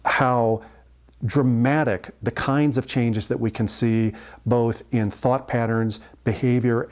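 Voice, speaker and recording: A man in his sixties with a mid-range voice speaks as a doctor teaching on an instructional video. He explains things in measured phrases, often pausing partway through a sentence. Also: mid-range voice, darkish tone. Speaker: family physician and instructor.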